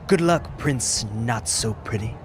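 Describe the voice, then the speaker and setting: A man's voice: mid-range voice, expressive, a little slow, slight background noise, natural voice. An actor playing a vain, snobbish male model wrestler with a preening, condescending manner, in a video game cutscene. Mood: smug, teasing.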